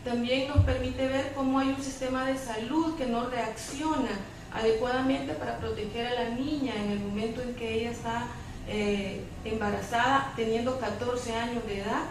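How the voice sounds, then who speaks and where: A Salvadoran woman giving spontaneous commentary as a panelist at a public debate, speaking hesitantly with pauses between phrases. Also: on the high side, steady tone. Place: public debate room, picked up from afar. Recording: distant, very echoey room with clear noise.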